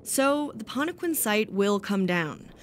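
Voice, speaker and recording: A woman reporter giving a scripted narration for a news feature in a measured, even way. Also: medium pitch, a little hiss or hum.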